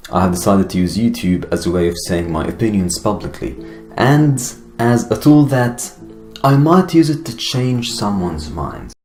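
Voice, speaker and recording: Arabic-accented man, low voice, echoey room. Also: darkish tone. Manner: earnest, deliberate, with pauses and fillers. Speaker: YouTuber. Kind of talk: personal video monologue. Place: Finland. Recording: echoey room with guitar music behind.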